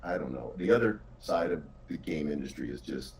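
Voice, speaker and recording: A man's voice: rumbling low, lively intonation, brisk, vocal fry, darkish tone, recorded from a distance, a little hiss or hum. A middle-aged man chatting casually and informally as a game developer, with an enthusiastic, encouraging way of talking.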